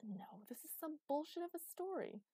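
A woman's voice: sits high, sing-song, normal speed, warm tone, dry recording, studio quality, voice pushed up. Woman acting a stubborn, indignant young woman. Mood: alarmed, defiant. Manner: defiant, sarcastic, emphatic. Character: stubborn, indignant mortal woman of twenty-seven.

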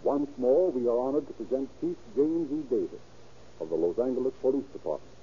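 A man's voice: medium pitch, lively intonation, brisk, dark tone, slight background noise. A man announcing and narrating a scripted 1930s radio program in a formal, declamatory way. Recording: dull, lo-fi old radio recording.

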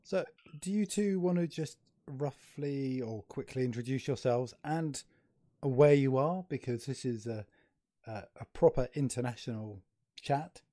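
A man's voice: mid-range voice, animated, unhurried, mellow, no echo, clean studio sound. A man hosting a casual video-call interview, joking loosely and running himself down. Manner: jokey, loose, self-deprecating. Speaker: host.

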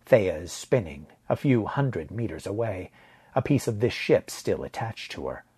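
A man's voice: on the low side, big pitch swings, normal speed, warm tone, slightly rough. A man narrating first-person science fiction fiction from a script as a storyteller.

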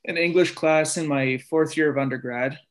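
A man's voice: on the high side, moderate pace, slight background noise. Young man who stammers while telling stories. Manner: stammers, repeating words and breaking off syllables; relaxed storytelling with frequent fillers. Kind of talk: casual conversation.

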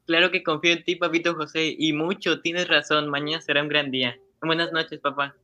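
A man's voice: fairly high pitch, flat delivery, fairly quick, pushed and bright. A man playing an earnest, obedient young schoolboy in a radio drama, with a pleading, affectionate and polite manner. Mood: trusting, affectionate. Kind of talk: amateur Spanish-language radio drama acting.

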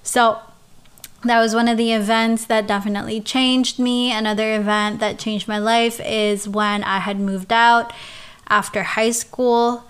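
A young woman from the Philippines talks through her life story at an unhurried pace. Her voice is smooth and clear and stays in a narrow, even pitch range. She often stops and restarts her sentences. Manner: halting, with many restarts and soft laughs. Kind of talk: casual personal storytelling, answering questions.

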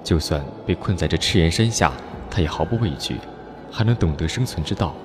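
A man acting a cheerful, persistent young martial-arts hero in a radio drama, with a teasing, expressive delivery. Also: deep voice, a little fast, close to an everyday voice. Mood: reflective. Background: music score underneath.

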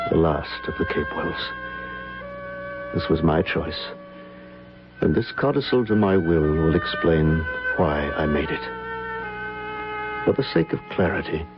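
An actor playing a grave American gentleman recounting his past in a radio drama, with an American accent and measured, literary phrasing. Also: deep voice, gravelly. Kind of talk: old-time radio drama acting and narration. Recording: lo-fi radio recording, loud music behind.

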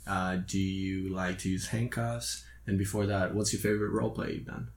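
A man's voice: low-pitched, steady tone, moderate pace. Chatty man. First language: Russian. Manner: curious, laughing, chatty. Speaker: host. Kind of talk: casual chat.

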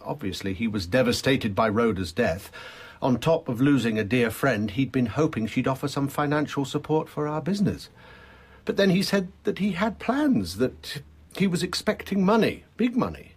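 A man's voice: medium pitch, very animated, normal speed, slightly rough. An actor playing an agitated, insistent man in his thirties with a British accent, in a radio drama. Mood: distressed, puzzled. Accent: British English. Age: 30s. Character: distraught, emphatic well-dressed man in his thirties.

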